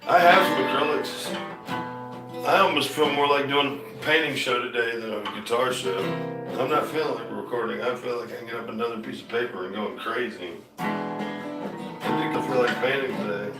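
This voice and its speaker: A man's voice: mid-range voice, moderate pace, far from the mic. Rambling man in an echoey room. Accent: light Southern. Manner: loose, chatty, trails off and restarts, drawls his y'alls. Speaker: musician and painter streaming a show. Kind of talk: rambling casual chat to viewers. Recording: echoey room, voice a bit off-mic, guitar music.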